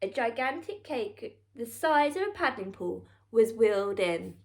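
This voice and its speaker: A woman narrates a children's story in a high, very animated voice. She takes her time, leaning on key words with theatrical emphasis, like a scripted storybook reading. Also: measured pace.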